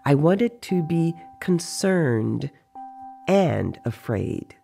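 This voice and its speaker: Slow, creaky male story narrator. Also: a little high, big pitch swings, warm tone, faint music behind. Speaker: narrator reading book excerpts. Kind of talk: scripted dramatized narration.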